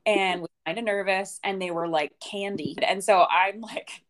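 A young woman co-hosting a casual podcast, her voice raspy and ragged from a hangover, rambling and laughing at herself. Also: medium pitch, steady tone, moderate pace, rough recording. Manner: rambling, laughing, self-mocking. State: hungover and tired. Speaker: podcast co-host, wedding singer. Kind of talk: casual chat.

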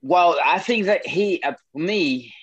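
A man's voice: high-pitched, expressive, unhurried, a bit bright, breathy edge, dry recording, lo-fi sound. A young man from Brazil with a Brazilian Portuguese accent, speaking English as a second language in a casual interview, often repeating words and swearing casually. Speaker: MMA fighter.

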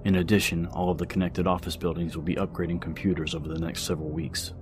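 A man narrating an audio story, reading out newspaper reports in a measured, scripted news style. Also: low voice, little pitch movement. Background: music.

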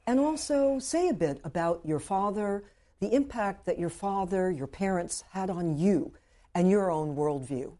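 A woman's voice: fairly low pitch, animated, a little slow, dark tone. An older woman interviewer courteously asking her guest a question.

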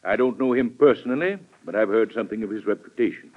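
An actor playing a proud, idealistic Secretary of State in an old-time radio drama, formal, emphatic and declamatory. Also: male voice, fairly low pitch, fast, lightly acted. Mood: formal, earnest. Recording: dull vintage radio recording.

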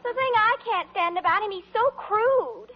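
An actress playing a pert, wheedling teenage American daughter in a 1940s radio comedy. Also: female voice, very high-pitched, expressive, moderate pace. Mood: scornful. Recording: dull old radio broadcast, faint audience.

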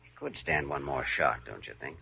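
Earnest, husky young doctor in old radio drama. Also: male voice, very deep voice, brisk, heavily acted. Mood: concerned. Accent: American. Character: earnest young male hospital doctor, persuasive and wry. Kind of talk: classic radio drama acting. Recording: dull old radio recording.

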